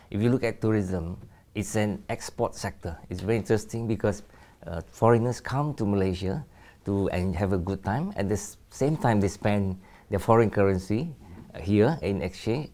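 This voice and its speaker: A man's voice: fairly low pitch, warm tone. A middle-aged man, a government official, explaining facts in a cheerful interview. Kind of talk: interview answers.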